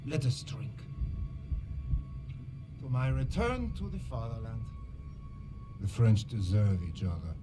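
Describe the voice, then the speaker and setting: A man's voice: lowish voice, very animated, slow pace, long pauses. An actor playing a menacing, genteel Nazi German commander with a German accent and a mannered, mock-courteous way of savoring his words. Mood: sinister, amused. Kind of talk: cinematic war game acting. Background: music.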